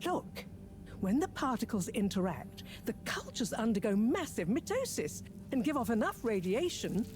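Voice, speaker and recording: An actress playing an eager, self-assured scientist in a video game, explaining things in a precise, animated way. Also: female voice, fairly high pitch, normal speed. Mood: excited, eager. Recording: music behind the voice.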